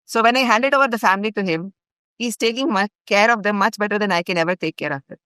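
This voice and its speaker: Earnest middle-aged Indian woman, smooth voice. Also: medium pitch, fast talker, fairly bright tone, no room sound. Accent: Indian English. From India (grew up in Bhopal). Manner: earnest, recounts events in long chains with fillers. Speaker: guest, longtime ashram volunteer. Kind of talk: podcast storytelling.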